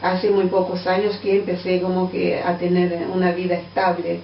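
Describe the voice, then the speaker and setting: A middle-aged woman from Angola with a Portuguese-tinged accent, a guest answering interview questions thoughtfully, with many pauses and fillers. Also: on the low side, monotone, vocal fry, a little hiss or hum. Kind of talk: radio interview. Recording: distant, roomy, dull lo-fi radio sound.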